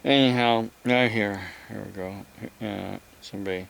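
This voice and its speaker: A man giving a rambling, casual explanation to viewers, halting and repeating himself as he goes. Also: on the low side, fairly even intonation, creaky, fairly bright tone, slight background noise, rough recording. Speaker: vlogger.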